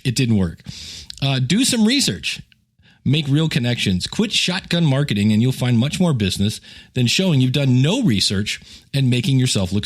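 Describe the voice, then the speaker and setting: A middle-aged man with an American accent, hosting a podcast and telling stories in a conversational, wry way. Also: mid-range voice. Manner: conversational, wry, chuckles at own asides. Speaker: podcast host. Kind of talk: casual solo podcast storytelling, reading emails aloud.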